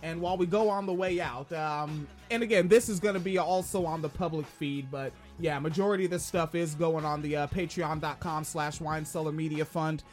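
A man with a high-pitched voice, casually co-hosting a live-streamed podcast, rambling, swearing and joking. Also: steady tone, a little fast, few pauses, soft background music. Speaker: podcast co-host.

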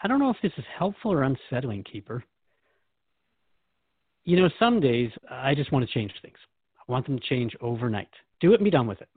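A man's voice: mid-range voice, slightly rough, no room sound, phone-quality sound. A man hosting a conversational podcast interview, speaking in an earnest, questioning and reflective way. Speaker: host interviewing a guide.

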